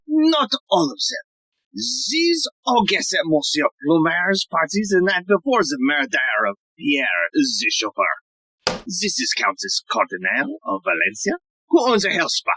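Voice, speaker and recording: A woman playing a pompous, self-important police inspector in a theatrical audio play, lecturing with dramatic pauses. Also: on the low side, very animated, noisy background. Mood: briefing.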